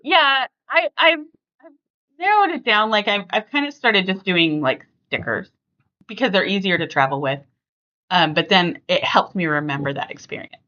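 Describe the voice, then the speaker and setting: A woman hosting a casual family podcast, chatting conversationally and thinking out loud as she talks. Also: mid-range voice, animated, normal speed, highs cut off. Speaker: podcast host.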